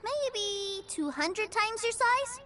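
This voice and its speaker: A woman's voice: very high-pitched, lively intonation, slow pace, very noisy, exaggerated voice. A woman voicing a restless, naive little boy in a video game, talking in an eager childlike way, with game music behind. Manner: eager, wide-eyed, childlike.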